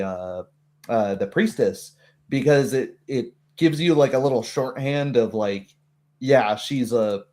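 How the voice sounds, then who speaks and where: A man talking casually as a tabletop game master, chatty and halting, with a readiness to chuckle. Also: medium pitch, darkish tone.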